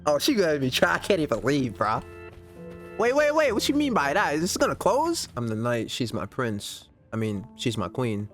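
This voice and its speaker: A man streaming a game playthrough, casually thinking out loud to his chat in slangy, expressive talk. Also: mid-range voice, moderate pace, soft background music. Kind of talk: casual playthrough reaction commentary.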